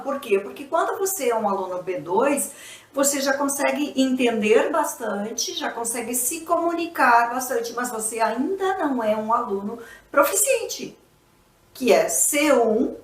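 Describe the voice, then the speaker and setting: A native Portuguese-speaking woman teaches English in a patient, explanatory way, pausing to check in with her students. Her voice sits a little high. She sounds a bit away from the mic, in a room with a noticeable echo. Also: distant mic. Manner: didactic, checks in with frequent tag questions. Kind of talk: explanatory video lesson.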